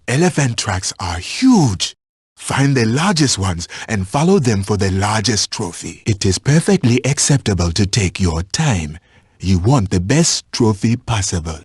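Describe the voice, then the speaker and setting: An actor playing a patient, watchful African safari tracker in a video game, guiding a hunter in measured, instructive phrases. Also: male voice, low-pitched, darkish tone, breathy edge, no echo, clean studio sound, full character voice. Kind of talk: scripted video game voice lines.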